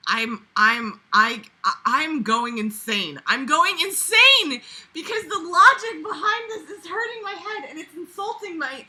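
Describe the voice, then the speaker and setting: A woman's voice: extremely high pitch, lively intonation, a little slow, hardly any pauses, sharp tone. A young woman reading a book aloud and breaking in with mocking, incredulous, sweary commentary in a theatrical, exasperated manner. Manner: mocking, sarcastic. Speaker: host of a comedic book read-along.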